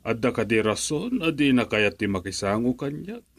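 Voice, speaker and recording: A man acting a measured, questioning middle-aged father in a radio drama. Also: medium pitch, very animated. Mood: puzzled.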